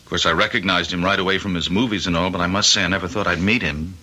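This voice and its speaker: A man's voice: deep voice, fairly even intonation, fast talker, pushed and bright. An actor playing a starstruck, self-deprecating man in a radio drama, hesitant and effusive as he confides. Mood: wondering, confiding. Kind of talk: old-time radio drama acting.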